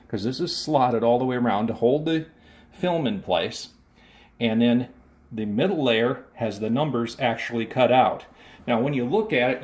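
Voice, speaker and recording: A man's voice: medium pitch, darkish tone. Man explaining step by step. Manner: methodical, detailed explaining. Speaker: radio restorer presenting his work. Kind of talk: hands-on demonstration and explanation.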